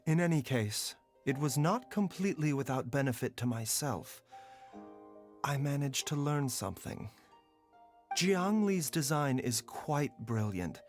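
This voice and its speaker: A man voicing a calm, composed physician in game dialogue, speaking in a soft, formal, measured way. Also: medium pitch, lightly acted. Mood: thoughtful. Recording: soft music underneath.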